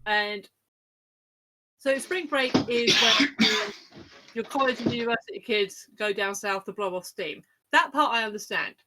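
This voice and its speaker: A woman's voice: a little high, measured pace, sharp tone, a little hiss or hum, poor audio. A woman co-hosting a podcast, chatting casually in a wry, frank way. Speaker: podcast co-host. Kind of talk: casual chat.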